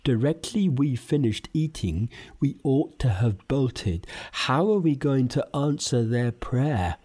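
A man's voice: medium pitch, lively intonation, takes it slowly, mellow. A man voicing a greedy, bumbling male pig pilgrim who mutters his complaints nervously. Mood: grumbling.

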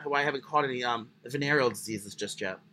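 A man with a high voice co-hosting a casual comedy podcast, crude and self-deprecating in his humour. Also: fast, bright, forceful tone. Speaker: podcast co-host. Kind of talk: casual comic chat.

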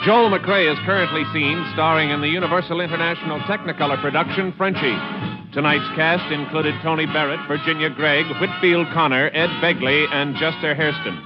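A man's voice: a little high, steady tone, brisk, over loud music. A man reading scripted credits and promos in the polished style of a 1950s radio announcer. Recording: muffled old radio broadcast.

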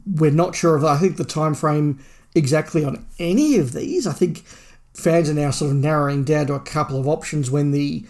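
Creaky-voiced man in rambling podcast chat. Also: a little high, moderate pace, a little mellow. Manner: rambling, thinking aloud. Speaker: podcast co-host. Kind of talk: casual fan discussion.

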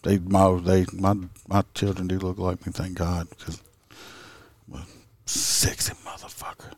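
A man's voice: low voice, little pitch movement, unhurried, a little mellow. A middle-aged man co-hosting a podcast, swearing and joking crudely, with grown children of his own. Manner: joking, crude, puts on silly voices. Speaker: podcast co-host. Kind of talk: crude comedic banter.

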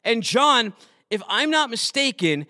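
A man from Massachusetts, hosting a podcast in an animated, jokey, casual way. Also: extremely high pitch, dry recording, clean studio sound. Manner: animated and jokey, fast filler-laden talk. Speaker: podcast host. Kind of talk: casual chat.

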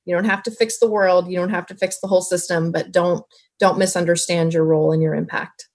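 A woman's voice: lowish voice, level tone, a little fast, a little mellow. A woman who grew up as a military kid, a foster parent speaking as a guest and giving earnest encouragement. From moved around as a military child. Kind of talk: encouraging advice.

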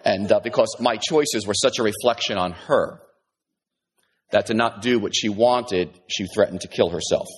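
A man's voice: on the low side, moderate pace, husky. A male pastor giving a sermon in a conversational way, often restarting his sentences and adding asides.

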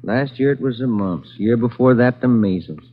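A man's voice: mid-range voice, moderate pace, lightly acted. An actor playing a weary family-man police detective, easygoing and grumbling, in a 1940s radio drama. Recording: dull 1940s radio broadcast.

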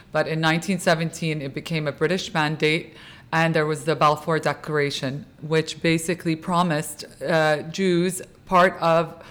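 Woman guest, raised in California, smooth clear voice, steady explanatory delivery. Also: deep voice. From born in Kuwait, raised in California. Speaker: podcast guest. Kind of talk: explaining history in an interview.

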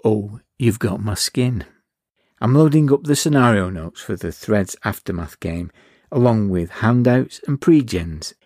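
Low, mellow middle-aged man. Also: no echo, studio quality. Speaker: podcast host. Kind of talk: scripted podcast outro.

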